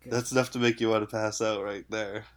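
A man's voice: fairly low pitch, little pitch movement, a little fast. A man from Canada talks in an easygoing podcast chat, rambling through fillers and laughing often. He has a head cold, and his voice sounds stuffed up and nasal. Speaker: podcast host.

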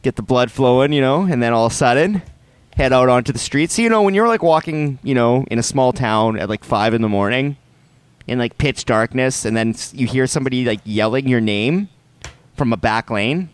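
A man hosting a podcast, chatting casually in an animated, joking way and laughing often. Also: mid-range voice, fairly quick. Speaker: podcast host. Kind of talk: casual chat.

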